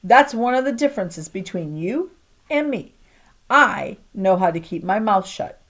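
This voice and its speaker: A woman reading aloud as a sharp-tongued, fed-up mother who drinks, lines delivered loud and emphatic. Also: medium pitch, expressive, measured pace. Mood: curt.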